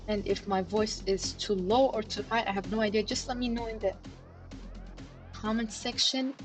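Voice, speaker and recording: A woman's voice: medium pitch, steady tone, moderate pace. A woman hosting a live market analysis stream, talking casually to her chat with lots of fillers like "you know" and "okay". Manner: chatty, many fillers, addresses chat directly. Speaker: crypto chart analysis livestream host. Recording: livestream with faint background music. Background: faint background music.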